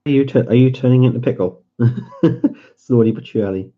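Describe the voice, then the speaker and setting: A man's voice: medium pitch, moderate pace, phone-quality sound. A man with a stuffy nose hosting a livestream, chatting casually and giggling with viewers as he colors. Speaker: livestream host.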